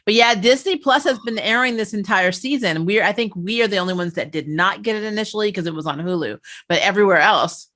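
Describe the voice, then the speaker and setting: A woman's voice: medium pitch, fast, few pauses, a bit bright. A woman chatting casually and animatedly as she hosts a discussion reviewing a TV show.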